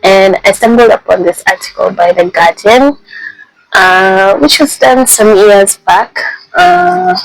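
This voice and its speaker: A young woman vlogging casually, speaking softly with a voice made raspy by a flu, pausing often with ums. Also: mid-range voice, no room sound, soft background music, rough recording. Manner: soft-spoken, halting with many ums. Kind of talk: casual personal vlog. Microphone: handheld mic.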